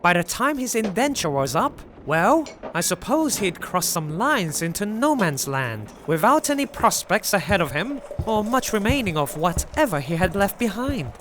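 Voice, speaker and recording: A woman's voice: mid-range voice, sing-song, moderate pace, few pauses, noisy background, natural voice. A woman playing a wry, feisty country woman in an audio drama, talking at length in folksy, colorful phrases. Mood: wry storytelling. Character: garrulous, wry, feisty country woman telling old tales. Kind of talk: audio drama acting, storytelling.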